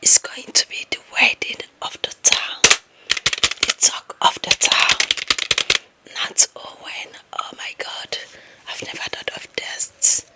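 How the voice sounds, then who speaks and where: A woman with an African accent playing a lovestruck, bossy, deluded young office employee in a whispered ASMR roleplay, speaking slowly and dramatically. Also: close to an everyday voice. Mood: dreamy, smitten. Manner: slow, dramatic, gossipy whispering.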